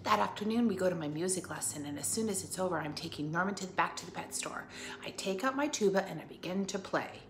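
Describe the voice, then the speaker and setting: Animated woman teacher reading aloud, echoey room. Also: fairly low pitch, quick pace. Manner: expressive, prompts listeners with questions. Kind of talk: animated read-aloud for children with asides and questions. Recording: echoey room, faint background noise.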